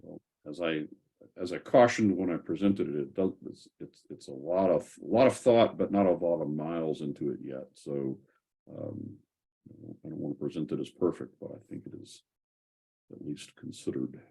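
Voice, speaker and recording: A man hosting a casual online community call, speaking in measured, hesitant phrases. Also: a bass voice, mellow, husky. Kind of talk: casual video call discussion.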